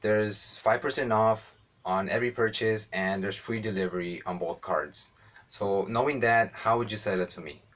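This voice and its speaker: A man playing a brisk female store manager. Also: lowish voice, fairly bright tone, close to an everyday voice. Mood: businesslike.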